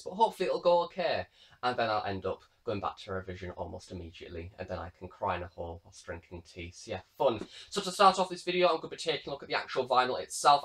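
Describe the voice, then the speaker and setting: A man's voice: on the low side, a bit bright. A British man in his late teens giving a casual, wordy product review to camera. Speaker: YouTube reviewer.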